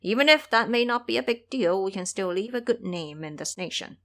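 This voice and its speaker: A woman's voice: mid-range voice, expressive, a little fast, fairly bright tone. A woman voicing a brash, foolish male pig-monk warrior, boastful and blunt, in an audio drama. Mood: eager.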